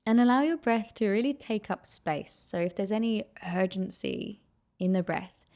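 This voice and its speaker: A woman leading a guided meditation with soft, soothing, unhurried and gently encouraging instruction. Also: medium pitch, expressive.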